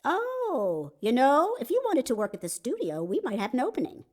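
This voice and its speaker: A woman's voice: high voice, huge pitch swings, normal speed, heavily acted. A woman voicing a feisty, folksy older female security guard and witness in a courtroom game. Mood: sassy, mocking. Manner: folksy, sassy, scolding. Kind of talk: video game fan voice acting.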